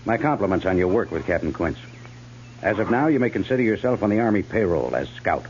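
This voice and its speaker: Deep-voiced, commanding army major. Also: male voice, very animated, quick pace, a little mellow. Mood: official. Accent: American. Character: commanding army major, dry and wry, frontier fort. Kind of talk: 1950s radio western acting. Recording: muffled 1950s radio recording, faint hum.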